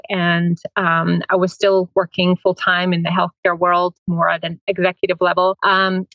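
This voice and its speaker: A middle-aged woman, a foundation founder, speaking in an interview and explaining at length with frequent pauses and "um"s. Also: fairly low pitch, dry recording, highs cut off. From Finland. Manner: conversational, many "um"s, steady explaining. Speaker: foundation president and founder, guest. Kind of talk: interview conversation.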